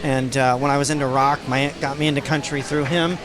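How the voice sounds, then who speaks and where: A man's voice: medium pitch, little pitch movement, fast talker. A man co-hosting a podcast, asking friendly, enthusiastic interview questions in casual chat. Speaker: podcast co-host. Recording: crowd noise around.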